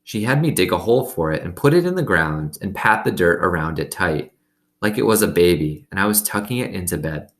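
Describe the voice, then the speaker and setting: A man's voice: low-pitched, expressive, brisk, a bit bright. A man reading a storybook aloud expressively as host, with simple, conversational asides.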